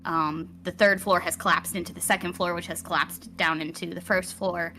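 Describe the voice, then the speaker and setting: A woman game master with a bright, forceful voice, telling a fantasy tale in theatrical storyteller phrases. She addresses her players as she goes, over quiet background music. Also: lowish voice, steady tone, normal speed. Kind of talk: narrating a live tabletop roleplaying game.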